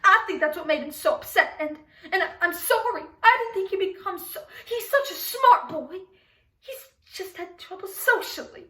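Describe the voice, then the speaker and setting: A woman performing a dramatic monologue as a grieving, defensive mother who dotes on her son, halting and pleading as she speaks. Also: very high voice, expressive, pushed and bright, exaggerated voice. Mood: distraught, pleading. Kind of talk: dramatic monologue for speech competition. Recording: echoey room, distant microphone.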